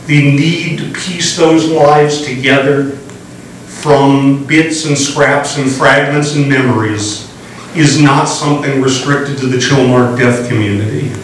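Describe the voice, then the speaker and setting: A middle-aged man giving a public history lecture in measured, deliberate phrases, recorded in a library meeting room. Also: medium pitch, steady tone. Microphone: room mic from a distance. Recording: echoey hall, distant mic, faint hiss.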